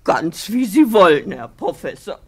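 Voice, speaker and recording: An actress in a 1950s radio play playing a blunt, anxious, nagging housemaid. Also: female voice, mid-range voice, sing-song, measured pace, slight background noise. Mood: warning, resigned. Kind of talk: 1950s German-language radio play acting.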